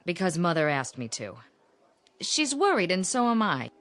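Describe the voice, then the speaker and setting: An actress playing a worried, bossy sister in a radio drama, speaking frankly and insistently with strong emphasis. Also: female voice, on the low side, very animated, normal speed, fairly bright tone. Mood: blunt, worried. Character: blunt, pushy, worried sister of a shy man. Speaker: actor in a radio play.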